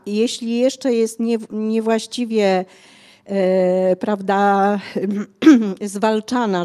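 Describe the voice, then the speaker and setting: Older female author, mellow and unhurried, fills pauses often while explaining. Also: medium pitch. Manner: hesitant, many fillers, explanatory. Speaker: author guest. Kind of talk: conversational interview. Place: bookshop cafe stage, indoors. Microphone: handheld mic.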